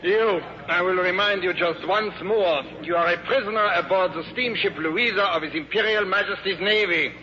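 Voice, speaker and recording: An actor playing an officious, menacing German naval lieutenant with a German accent and clipped formal manner, in an old radio drama. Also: male voice, high-pitched, normal speed, few pauses, pushed and bright. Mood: accusing. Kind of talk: 1950s radio drama acting. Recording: muffled, reverberant, hissy old radio recording.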